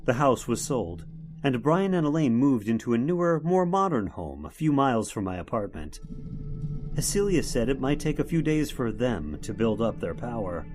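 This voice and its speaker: A man's voice: mid-range voice, sing-song, moderate pace, a little mellow, soft background music, natural voice. A man acting as an earnest, dramatic hero and narrator of an old-time radio horror tale. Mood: somber narration. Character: earnest man recounting his supernatural ordeal.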